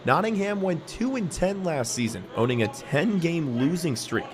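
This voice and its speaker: A man's voice: on the high side, very animated, moderate pace. Male sports reporter over noisy background. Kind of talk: scripted news report. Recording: noisy gym ambience behind. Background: bouncing basketballs, ambient noise.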